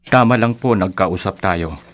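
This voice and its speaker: An actor in a radio drama playing a calm parish priest who gives grave, measured, courteous counsel. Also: male voice, low-pitched. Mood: grave, concerned.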